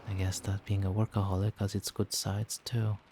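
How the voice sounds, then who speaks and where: A man playing a doting, gentle vampire boyfriend in a soft-spoken ASMR roleplay, tender, reassuring and coaxing. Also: on the low side, flat delivery, moderate pace, background noise, close to an everyday voice. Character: doting, gentle male vampire boyfriend soothing partner to sleep.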